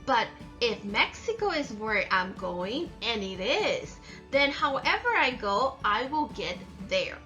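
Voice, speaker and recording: A woman's voice: on the high side, big pitch swings, slow, sharp tone, soft background music, heavily acted. A woman voicing a tiny, determined young female caterpillar in a storybook, in an eager, repetitive sing-song. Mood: cheerful, resolute.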